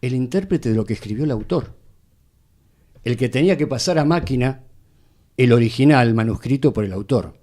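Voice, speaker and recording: A man's voice: mid-range voice, expressive, normal speed, pauses often, mellow. An Argentine man, a radio actor, explaining things didactically in conversation with short tag questions.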